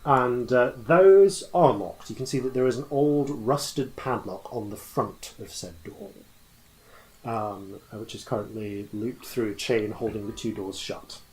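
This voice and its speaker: A man running a tabletop game as game master, describing scenes off the cuff and haltingly as he thinks aloud. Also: mid-range voice, a little mellow, slight background noise, poor audio. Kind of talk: improvised narration of a tabletop game.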